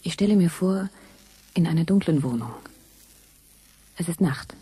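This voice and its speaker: A breathy, disoriented dreaming woman. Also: fairly low pitch, expressive, moderate pace, warm tone. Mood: dazed. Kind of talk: radio drama monologue.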